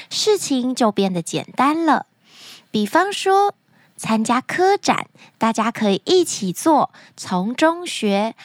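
A woman narrating children's stories as a podcast host, expressive and speaking directly to young listeners. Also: a little high, dry recording, clean studio sound. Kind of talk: animated storytelling narration for children.

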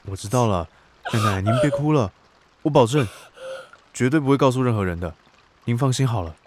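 An actor playing a polite but secretly scheming young student in a radio drama, with a Taiwanese Mandarin accent. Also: male voice, mid-range voice, expressive, brisk, background noise, natural voice. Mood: polite, helpful. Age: young adult. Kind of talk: radio drama acting with inner monologue.

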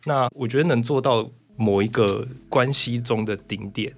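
A man's voice: mid-range voice, normal speed. A man speaking as an author guest in a casual, reflective interview.

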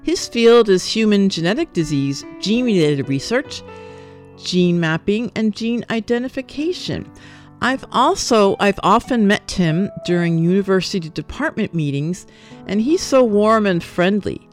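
A woman hosting an interview show, who speaks in a friendly way and often gives quick "oh" and "mm-hmm" responses. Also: mid-range voice, lively intonation, faint music behind. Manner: friendly, quick acknowledgments, small laughs. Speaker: radio show host.

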